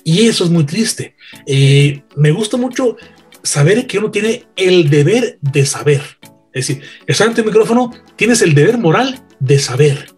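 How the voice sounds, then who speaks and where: A husky-voiced Mexican man, a veteran announcer, spins earnest radio anecdotes in a fairly high register. Faint music plays behind him. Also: expressive, unhurried, darkish tone. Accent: Mexican Spanish. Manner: storytelling, earnest and didactic. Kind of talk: anecdotal conversation.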